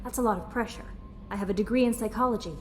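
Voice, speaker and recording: A woman's voice: medium pitch, racing, soft background music, natural voice. A woman playing an earnest, personable young ship's aide in a video game. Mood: friendly. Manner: eager, personable.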